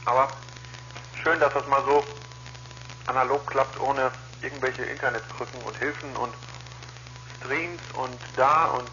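A man's voice: mid-range voice, fairly even intonation, measured pace, pauses often, sharp tone. A man in a casual two-way chat as an amateur radio operator, heard over a radio link. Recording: lo-fi ham radio link with hiss.

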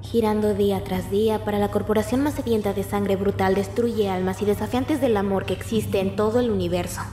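A woman's voice: medium pitch, steady tone, a little fast, mellow, lightly acted. A young woman playing a tough, snarky outlaw in a Latin American Spanish video game fan dub, blunt and sarcastic. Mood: sarcastic, probing. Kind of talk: amateur fandub of a video game. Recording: echoey room with music.

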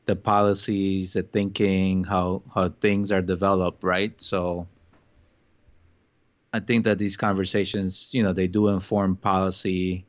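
Deep monotone guy from colombia, reflective podcast chat, muffled lo-fi audio. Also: a little slow, no room sound. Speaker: podcast co-host. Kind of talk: casual chat. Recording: dull lo-fi sound.

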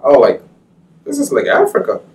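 A man's voice: mid-range voice, wildly swooping pitch, a little fast, recorded from a distance. A man from Liberia, a guest chatting casually and agreeably in a studio interview. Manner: agreeable, frequent short affirmations. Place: small TV studio.

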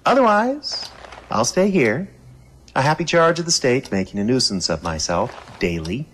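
A man's voice: mid-range voice, big pitch swings, normal speed, fairly bright tone, a little hiss or hum. A man playing a stubborn, wisecracking reporter who hunts monsters in an audio drama, with a cheeky, wheedling manner. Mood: cheeky, persuasive. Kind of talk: audio drama acting, with first-person noir narration.

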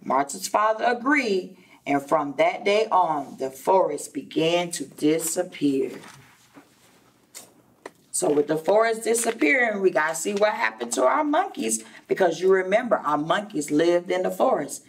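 A middle-aged woman, a preschool teacher, reading a story aloud to young children in a deliberate, explanatory way with repeated phrases and asides. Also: fairly low pitch, animated, vocal fry, a little hiss or hum. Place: indoor classroom. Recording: distant microphone.

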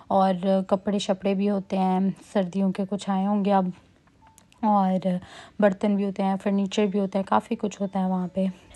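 A woman's voice: medium pitch, warm tone. A young adult woman narrating a shopping vlog as a casual voice-over, chatty and listing items with frequent fillers. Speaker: vlogger. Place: quiet indoor room, voice-over.

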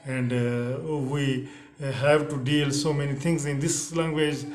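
A man's voice: medium pitch, little pitch movement, measured pace. Hesitant Urdu-speaking man, echoey room. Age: middle-aged. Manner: hesitant, frequent uh fillers and restarts. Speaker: English teacher. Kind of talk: spoken English lesson to camera. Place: indoors, plain teal backdrop. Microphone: camera in front, head and shoulders framing.

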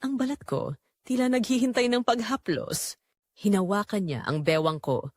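Female narrator for first-person audio drama; mid-pitched, highly varied intonation, dry studio-style sound. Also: big pitch swings, moderate pace, darkish tone, no room sound.

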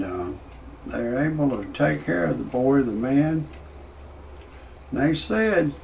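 A man, telling a rambling parody comedy monologue in a halting way, full of "uh" fillers and restarts. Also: mid-range voice, a little mellow, slight background noise, poor audio. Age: 60s. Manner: slow, halting delivery with many "uh" fillers and restarts; gravelly voice. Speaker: comedy storyteller.

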